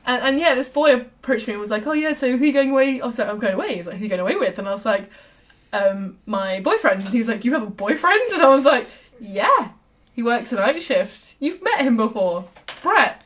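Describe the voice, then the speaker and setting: A woman chatting as a podcast guest, telling stories with lively acted-out dialogue. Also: a little high, fairly quick. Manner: animated storyteller who acts out quoted dialogue. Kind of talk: casual chat.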